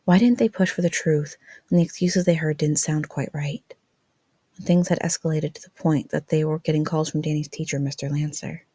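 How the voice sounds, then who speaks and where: A woman's voice: deep voice, steady tone, a little fast, dark tone, husky, dry recording. A woman reading fan fiction aloud as scripted, dramatic story narration.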